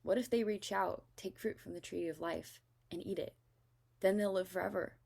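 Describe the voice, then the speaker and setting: A woman's voice: medium pitch, fairly even intonation, normal speed, gravelly, a little mellow. A woman voicing a stern, commanding God in a Bible story, solemn and emphatic. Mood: grave concern.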